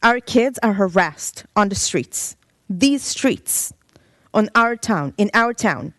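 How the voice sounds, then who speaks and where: A woman's voice: mid-range voice, animated, slow, a bit bright, no room sound. A woman from Israel giving an impassioned public comment with urgent, pointed questions at a council meeting podium microphone. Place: council chamber.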